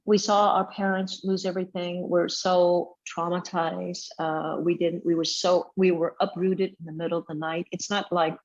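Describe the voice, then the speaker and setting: A middle-aged woman from Vietnam, a chef and restaurant owner, talking casually in an interview with many pauses and fillers. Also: lowish voice, little pitch movement, lo-fi sound.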